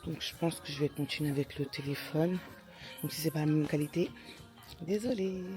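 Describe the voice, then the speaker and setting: A young woman chatting casually in a vlog, with a stammer that makes her repeat and restart words in a halting way. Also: sits low, over music. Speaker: lifestyle vlogger, mother of young children. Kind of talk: casual chat to camera.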